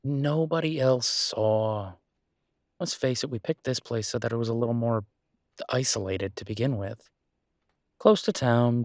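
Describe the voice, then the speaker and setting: A man acts out a devoted boyfriend in an intimate audio roleplay. His mid-pitched voice is casual and hesitant, soothing his partner as he feels for words. The sound is close and dry, with no room around it. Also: no room sound, lightly acted. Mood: flustered, reassuring. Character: devoted human boyfriend of a werewolf, gently reassuring. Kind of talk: roleplay audio acting, intimate one-sided conversation.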